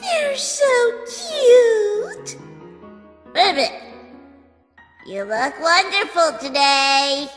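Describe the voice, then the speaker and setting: A woman voicing a tiny, sweet, excitable frog-like monster in a cutesy comic dub. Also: extremely high pitch, very slow, pauses often, exaggerated voice. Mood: delighted. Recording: long reverb with background music.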